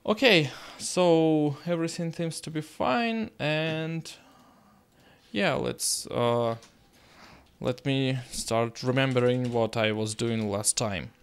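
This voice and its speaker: A young man with a Russian accent talks slowly through his coding session, pausing often on fillers. His voice is smooth and clear at a middling pitch, picked up by a desk mic at home. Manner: halting, many filler pauses. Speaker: coding streamer. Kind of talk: live coding stream chat.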